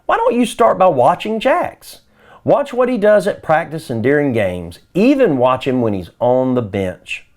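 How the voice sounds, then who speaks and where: A patient old grandfather from a children's storybook, voiced by a man. He is kindly and gently advising. His intonation rises and falls expressively. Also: on the high side, very animated, measured pace. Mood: encouraging.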